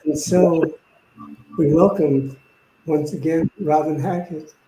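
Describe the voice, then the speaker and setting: Guy minister hosting a service, slightly high voice, echoey and noisy room. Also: steady tone, takes it slowly. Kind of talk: welcoming service announcements. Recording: echoey room with clear background noise.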